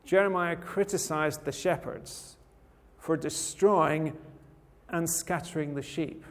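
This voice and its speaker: A man from rural Scotland preaching a sermon in a relaxed, conversational way with touches of dry humor. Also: fairly high pitch, slow pace. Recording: long hall reverb.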